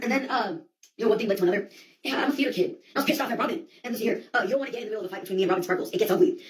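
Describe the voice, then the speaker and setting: Woman, quick-fire comic monologuist: rambling, slangy and sweary, with a theatrical streak and a mid-range voice. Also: mid-range voice, rough recording. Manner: sweary, theatrical, slangy delivery with quoted outbursts.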